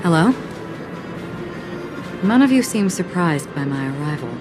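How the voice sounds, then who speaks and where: Slow, aloof mysterious wanderer. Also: female voice, fairly low pitch, sing-song. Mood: detached, wistful. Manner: detached, unhurried. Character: aloof, soft-spoken mysterious female wanderer. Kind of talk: video game cutscene acting. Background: background music.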